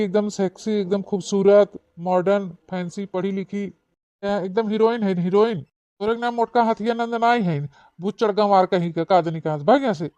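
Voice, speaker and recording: A man's voice: high voice, warm tone, clean tone, no room sound, lightly acted. A man voicing a callous, boastful village husband in a cartoon, taunting and blunt, with an eastern Uttar Pradesh Awadhi accent. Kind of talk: regional cartoon comedy dialogue. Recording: dull, dry lo-fi voice track.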